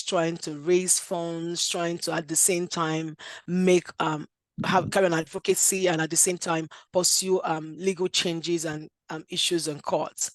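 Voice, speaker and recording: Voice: mid-range voice, no echo. A speaker with a Nigerian accent, a lawyer giving an earnest talk at a workshop with frequent "um" fillers and restarts. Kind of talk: conference speech.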